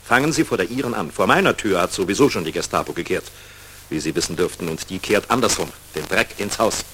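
An actor playing a worldly middle-aged air force general with dry, ironic wit in a German radio drama. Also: male voice, low voice, expressive, fast, fairly bright tone. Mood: sardonic. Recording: hissy 1960s mono radio recording, clicks.

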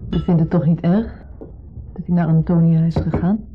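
A woman playing a conciliatory wife in a 1970s radio play, placating and earnest, sometimes hushed. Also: fairly low pitch, fairly even intonation, normal speed, slight background noise, lightly acted. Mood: apologetic, pleading. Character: conciliatory wife caught between husband and ex. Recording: muffled phone-like old radio recording.

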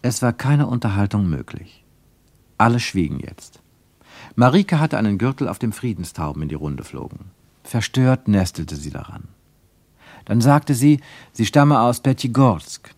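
A man reading scripted literary narration for a radio play, measured and wryly ironic. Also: fairly low pitch, expressive, a little mellow, dry recording.

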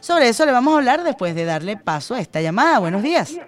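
A woman's voice: on the high side, big pitch swings, fast talker. Chatty Venezuelan woman radio host. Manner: chatty, personal anecdotes with fillers. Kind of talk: radio news and call-in chat.

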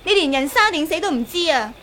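An actress playing a fiery, jealous young woman in a radio drama, sharp-tongued and petulant. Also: sits high, expressive, fast talker, slight background noise. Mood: scolding. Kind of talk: Cantonese radio drama acting.

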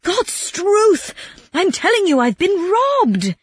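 A woman voicing a gullible, miserly man in a storybook, blubbering and yelling in exasperation. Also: sits high, big pitch swings, moderate pace, dry recording, pitched above the performer's own voice. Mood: yelling, indignant. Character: gullible miserly man wailing over his stolen pig.

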